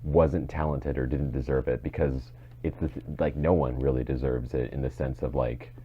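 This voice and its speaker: A man's voice: rumbling low, fairly even intonation, moderate pace, husky, dull audio. A man co-hosting a casual podcast, rambling as he circles back to rephrase his thoughts. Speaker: podcast co-host.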